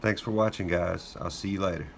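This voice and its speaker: A man recovering from a cold, hosting his own channel and talking through his work in a relaxed, thinking-aloud way. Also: sits low, fairly quick, creaky, slight background noise. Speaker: lockpicking channel host. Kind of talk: casual hands-on narration.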